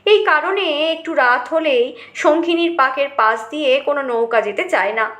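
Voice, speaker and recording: A woman's voice: high-pitched, normal speed, a bit bright, roomy sound. A woman reading a short story aloud as dramatic scripted narration.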